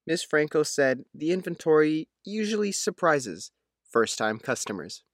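Man, news feature narrator, scripted read, animated pitch, dry sound. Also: unhurried, no room sound.

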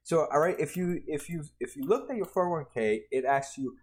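A man's voice: fairly high pitch, animated, fairly quick, slightly rough. A man in his twenties explaining retirement funds over slides in a casual way, often restarting and adding "right?". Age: 20s. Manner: conversational, many restarts and "right?" tags. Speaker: personal finance explainer.

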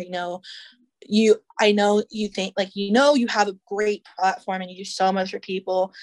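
A woman's voice: medium pitch, low-quality recording. A woman podcast guest speaking earnestly and reflectively. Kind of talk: casual interview.

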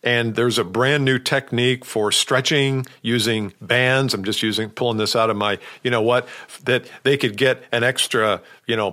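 A man's voice: mid-range voice, sharp tone. A man hosting a podcast, coaching sales leaders with direct talk and rhetorical questions. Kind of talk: podcast monologue.

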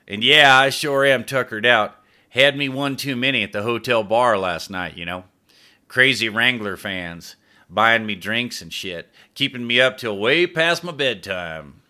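A man's voice: medium pitch, measured pace, sharp tone, pitched above the performer's own voice. A man doing a folksy, drawling tired athlete. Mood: easygoing. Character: tired, laid-back male athlete, folksy.

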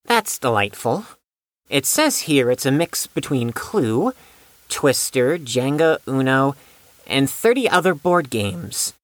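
A woman's voice: extremely low pitch, sing-song, slow, no echo, exaggerated voice. A woman playing a bookish, haughty young know-it-all with pedantic, formal speech in an audio drama. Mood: intrigued.

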